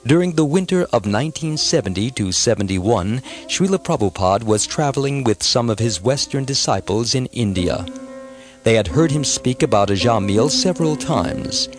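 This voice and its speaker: A man's voice: medium pitch, lively intonation, moderate pace. A man reading scripted narration as an audiobook narrator. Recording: music behind the voice. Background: music.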